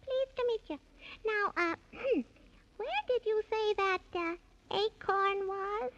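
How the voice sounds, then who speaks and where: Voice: very high voice, takes it slowly, a bit bright, heavily acted. An actor voicing a cheeky, boastful little squirrel who taunts in singsong rhymes in a 1930s children's radio serial. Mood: perky, boastful. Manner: singsong rhyming taunts and throat-clearing hesitations. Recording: muffled, faintly noisy 1930s radio recording.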